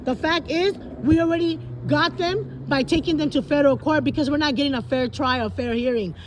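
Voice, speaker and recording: A woman's voice: sits high, a little fast, rough recording. A woman in a heated phone conversation, insistent and emphatic, often repeating herself for weight. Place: at a public library. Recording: faint background noise.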